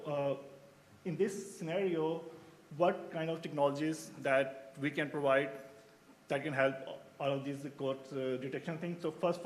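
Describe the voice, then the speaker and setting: A man's voice: on the high side, slight background noise. Hesitant man from Pakistan giving a conference talk. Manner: hesitant, many fillers and restarts. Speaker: researcher presenting at a symposium.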